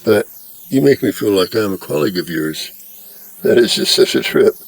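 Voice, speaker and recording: A man voicing a young male American humorist in a read-aloud story, excited and laughing in disbelief. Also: fairly low pitch, normal speed, creaky, faint music behind, poor audio. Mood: starstruck.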